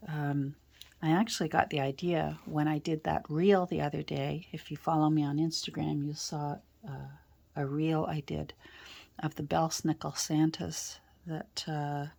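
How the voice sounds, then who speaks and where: A woman with a stuffy, congested cold, chatting casually while she crafts, rambling softly and often dropping into a whisper. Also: low-pitched, gravelly. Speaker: journal-making crafter. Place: indoors at a craft worktable.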